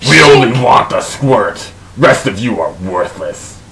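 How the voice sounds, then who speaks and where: A man voicing a gruff, threatening mutant brawler from a comic, with curt, growled threats. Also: fairly low pitch, very animated, unhurried, background noise, full character voice. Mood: contemptuous.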